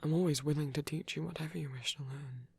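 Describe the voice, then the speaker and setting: Breathy, whispery gentle vampire priest, acted for ASMR. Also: mid-range voice, steady tone. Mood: touched, reassuring. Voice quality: soft, breathy, often whispering. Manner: hesitant, tender, halting pauses. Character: gentle, protective soft-spoken male vampire priest. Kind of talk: intimate ASMR roleplay monologue.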